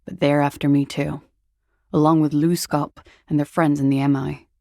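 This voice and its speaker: Weary young spy woman for a game, really low smoky voice, deadpan n guarded, super dry close sound. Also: normal speed, no echo. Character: weary, guarded, troubled young female corporate spy in hiding. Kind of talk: video game character dialogue.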